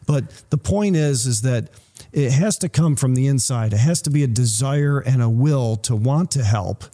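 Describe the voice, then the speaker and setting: Mellow-voiced man discussing the Bible. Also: medium pitch, moderate pace. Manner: reads scripture aloud in King James wording.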